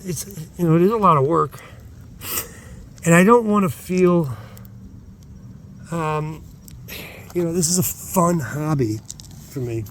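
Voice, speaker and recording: Higher-pitched man, hesitant rambling vlogger. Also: unhurried, pauses often, a bit bright, slight background noise. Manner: hesitant, meandering, self-interrupting. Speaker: channel host. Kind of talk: casual rambling outdoor vlog talk. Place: outdoors at night, rockhounding.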